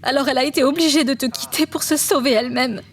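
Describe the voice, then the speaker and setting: A woman's voice: high-pitched, fast talker, a little hiss or hum. A woman playing an articulate, judgmental, science-minded woman from a parallel universe in a French audio drama. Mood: reproachful. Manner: explanatory, reproachful.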